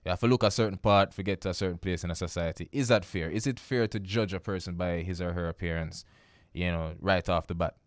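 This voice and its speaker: Jamaican male radio host, low-pitched, playful and jokey, dry close studio sound. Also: quick pace, no room sound. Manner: playful, joking, animated swings in pitch. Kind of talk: casual radio talk show chat.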